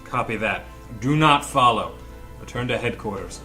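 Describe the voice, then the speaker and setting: A man's voice: medium pitch, animated, moderate pace, bright, forceful tone, faint music behind. A man playing a stern, commanding officer of a dystopian enforcement squad, giving clipped orders in a drama reading. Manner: clipped radio-protocol commands. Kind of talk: dramatic script table read.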